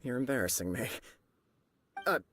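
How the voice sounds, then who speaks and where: A man's voice: medium pitch, expressive, moderate pace, fairly bright tone, slight background noise, lightly acted. A man playing a humble, earnest young acting clan head in an anime game, speaking formally and deferentially.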